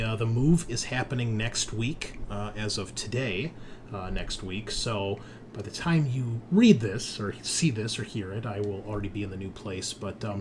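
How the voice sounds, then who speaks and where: A man's voice: fairly low pitch, a little hiss or hum. A man hosting a tabletop roleplaying show as game master, chatting casually and informally with some swearing. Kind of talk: casual podcast chat.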